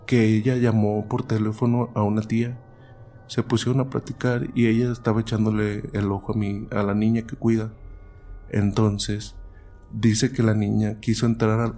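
Low, mellow-voiced man telling stories. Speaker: host and narrator of a paranormal stories show. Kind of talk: storytelling, reading listeners' stories aloud.

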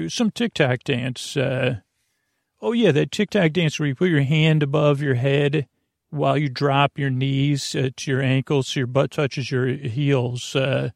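A male podcast host with a mid-pitched, heavily creaky voice talks in a rambling bedtime monologue. He is soothing and lulling, wandering off on tangents and cutting into his own sentences. The recording is dry and close to the mic. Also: dry recording. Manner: meandering, tangential, self-interrupting.

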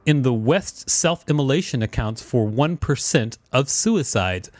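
A man's voice: mid-range voice, animated, a little slow. A man co-hosting a podcast, reading an article aloud with casual banter. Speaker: podcast co-host.

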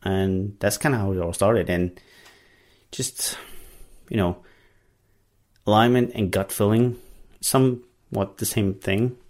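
A man's voice: lowish voice, fairly even intonation, slow pace, pauses often, a little mellow. A man hosting a podcast in English, which is not his first language, talking casually in a rambling way with many fillers and restarts. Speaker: podcast host. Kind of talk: casual solo monologue.

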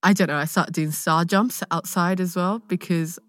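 A woman with a London accent, an actor being interviewed, telling anecdotes in casual, animated chat and acting out her own thoughts. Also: fairly low pitch, moderate pace, no echo, studio quality. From London, England, born in Nigeria. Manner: animated storytelling with self-quoted asides.

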